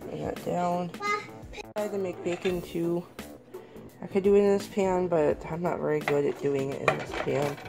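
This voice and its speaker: Woman, 30s, low gravelly voice, getting over a cold, home kitchen vlog, music playing underneath. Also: steady tone, normal speed. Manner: chatty, talking to camera while cooking. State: losing her voice from a cold. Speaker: vlogger. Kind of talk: casual home cooking vlog. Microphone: camera on the counter.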